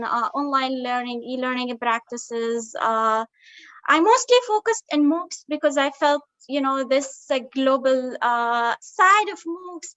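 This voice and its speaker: A woman's voice: high-pitched, a bit bright. A woman from Palestine, an assistant professor, introducing herself on a call with frequent fillers and hesitations. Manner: halting, many fillers, laughs easily. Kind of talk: self-introduction in conversation. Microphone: video call. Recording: dull lo-fi call audio.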